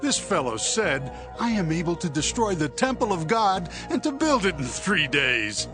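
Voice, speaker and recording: A hostile witness in a scripture drama. The high voice is loud, accusatory and very animated, carried over background music. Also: male voice, normal speed. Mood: accusing. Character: hostile male accuser testifying before a council.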